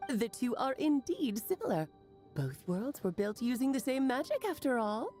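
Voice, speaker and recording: A woman playing a playful, teasing grown mage and storyteller in a video game, theatrical and grandly expressive. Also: fairly high pitch, moderate pace, over music. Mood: assured, teasing. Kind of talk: video game character acting.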